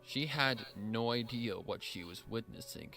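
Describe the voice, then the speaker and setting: A man narrating a dramatic scripted story in a measured storytelling style. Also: medium pitch, bright, forceful tone. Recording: voice over background music.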